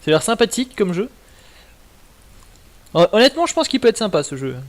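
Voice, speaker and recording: A man's voice: high-pitched, sing-song, a little fast, crowd noise. A man giving casual, slangy, mildly sweary commentary as a video game streamer. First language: French.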